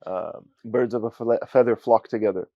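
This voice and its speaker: A young man from giving a student testimonial, talking haltingly with many fillers and Arabic phrases. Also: fairly low pitch, creaky, no room sound, highs cut off. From United States. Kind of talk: casual spoken testimonial.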